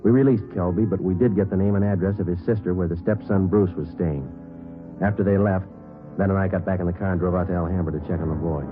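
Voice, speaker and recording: An actor playing a terse, unflappable police sergeant, narrating and speaking in a clipped, deadpan, matter-of-fact way in an old-time radio detective show. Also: male voice, deep voice, fast, close to an everyday voice. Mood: matter-of-fact narration. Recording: muffled vintage radio recording. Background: music.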